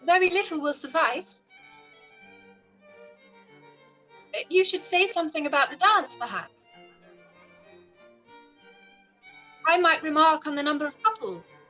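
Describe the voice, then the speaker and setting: Woman playing bright, high-voiced witty young gentlewoman. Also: animated, fairly quick, soft background music. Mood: arch. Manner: arch, pointed, formally phrased. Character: witty, proud young gentlewoman, sparring archly. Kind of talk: period drama live radio-play reading.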